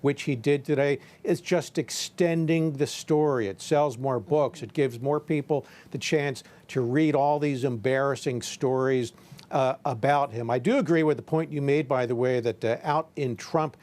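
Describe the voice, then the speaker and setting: A man's voice: mid-range voice, fairly even intonation, normal speed, a little mellow. A man commenting on politics as a guest in a live news discussion.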